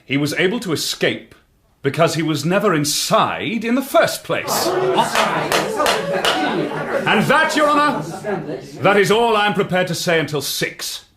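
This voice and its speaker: A man's voice: sits high, very animated, a little slow, long pauses, over a noisy crowd. A man acting in a radio drama as a brilliant, confident young detective with an emphatic, theatrical delivery. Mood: triumphant.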